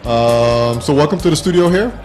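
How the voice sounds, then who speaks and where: A man in his fifties casually hosting an internet talk show from a small studio, with a halting, informal delivery. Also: on the high side, expressive, a little mellow, faint music behind. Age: 50s.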